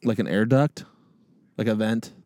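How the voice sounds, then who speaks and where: A man's voice: on the low side, unhurried, warm tone, dry recording. A young man co-hosting a comedy podcast, playful and teasing in casual chat, laughing often. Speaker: podcast co-host.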